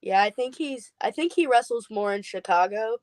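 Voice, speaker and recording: A woman's voice: on the high side, lively intonation, moderate pace, no room sound. A woman joining a casual podcast chat, teasing and emphatic.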